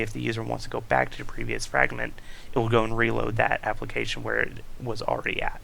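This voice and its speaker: Young male student, creaky flat voice, explaining code over screen capture on a hissy desk mic. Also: mid-range voice, low-quality recording. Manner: reads user stories aloud, explains code step by step with fillers. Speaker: student presenter. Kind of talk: screen-recorded project walkthrough.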